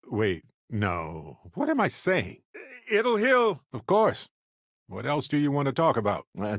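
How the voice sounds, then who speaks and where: Expressive low-voiced wry space explorer. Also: male voice, no room sound, studio quality. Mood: animated, bantering, then casual, chatty. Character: genial, wry, curious middle-aged male space explorer companion. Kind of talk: video game character dialogue.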